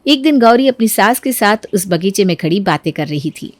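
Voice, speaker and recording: A woman's voice: medium pitch, expressive, normal speed, no room sound. A woman narrating a scripted family moral story as a storyteller.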